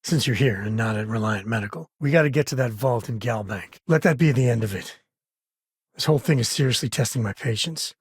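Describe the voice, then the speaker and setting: A man's voice: medium pitch, brisk, slightly rough, dry recording, studio quality. A man voicing an easygoing, folksy space ranger and father in a video game, with a wry, casual drawl. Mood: wry, relaxed.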